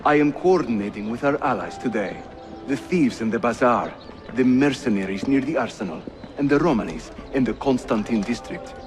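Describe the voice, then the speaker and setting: A man's voice: mid-range voice, animated, moderate pace, close to an everyday voice. An actor playing a genial Ottoman assassin lieutenant in a video game, easygoing and dryly humorous. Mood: easygoing, teasing. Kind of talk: cinematic video game acting. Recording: music behind the voice.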